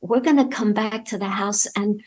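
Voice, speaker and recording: A woman's voice: medium pitch, normal speed, a little mellow, lightly acted. A woman voicing a friendly, earnest female rescue pit bull who speaks in a sweet, pleading, kindly way.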